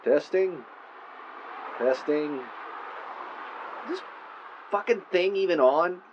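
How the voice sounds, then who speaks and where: A man's voice: sits high, lively intonation, slow pace, highs cut off, a little hiss or hum, voice pushed up. A man playing an irritated, foul-mouthed podcaster who mutters and berates himself into a tape recorder in an audio drama. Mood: flustered, irritated. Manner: muttering, swearing, self-berating.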